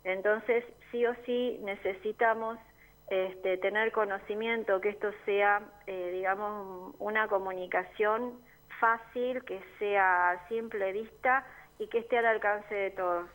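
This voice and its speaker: Argentine woman, radio guest, mid-pitched, earnest, explains steadily with fillers. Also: steady tone, a little slow, a bit bright, rough recording. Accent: Argentine Spanish. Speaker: neighborhood assembly member, guest. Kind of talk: radio interview. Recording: community radio studio.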